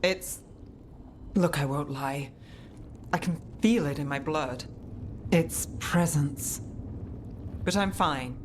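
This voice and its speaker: A woman's voice: lowish voice, a little hiss or hum. A woman voicing a cool, resolute female mage in a video game, guarded and matter-of-fact with a dry wit. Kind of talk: video game character acting.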